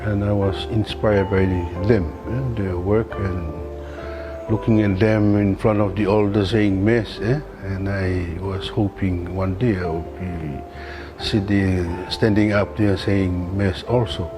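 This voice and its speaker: Lowish Tongan man over music. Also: fairly even intonation, slow pace, rough recording. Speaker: priest. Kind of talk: personal testimony. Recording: music bed behind the voice.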